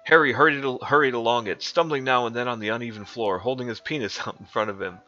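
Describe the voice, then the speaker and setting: A man's voice: mid-range voice, fast talker, bright, forceful tone, highs cut off. A man streaming a mock-dramatic fanfic reading, often breaking into giggles.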